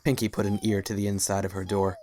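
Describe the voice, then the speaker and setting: Low-pitched male story narrator. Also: little pitch movement, fast talker, warm tone. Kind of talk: scripted dramatic story narration.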